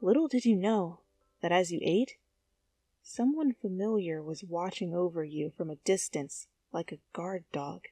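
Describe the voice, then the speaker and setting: A woman reading fan fiction aloud as an expressive second-person story narration. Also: lowish voice, a little slow, slightly rough, no echo, soft background music.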